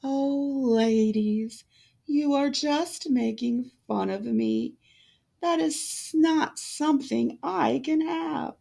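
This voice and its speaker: A woman voicing a meek, gentle young servant girl in a fairytale, with a timid, plaintive, halting delivery. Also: high voice, vocal fry, voice pushed up, heavily acted. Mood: meek, hurt.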